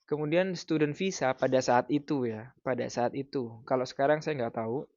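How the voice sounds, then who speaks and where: A man in his thirties from Indonesia, giving casual advice in a vlog in a relaxed, explanatory way with frequent fillers. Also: mid-range voice, moderate pace, no room sound, highs cut off. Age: 30s. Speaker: vlogger.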